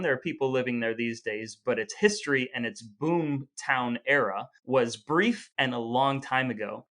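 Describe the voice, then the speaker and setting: A man's voice: medium pitch, a bit bright. Wry middle-aged man bantering. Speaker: podcast co-host. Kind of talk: casual chat.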